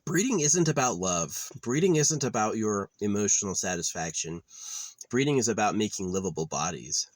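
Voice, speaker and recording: Young man, very low voice, meandering casual delivery, dry close sound. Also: animated, moderate pace, no echo. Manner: meandering, offhand, trailing off with filler. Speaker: vlogger. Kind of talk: rambling casual monologue. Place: outdoors at a quiet wooded campsite.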